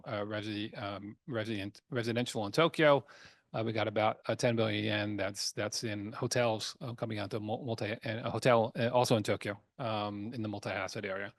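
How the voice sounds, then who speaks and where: A man speaking as a company chairman on an earnings call, explaining emphatically in a halting way, often repeating words and restarting. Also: fairly low pitch, no echo. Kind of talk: earnings call presentation, semi-scripted business talk.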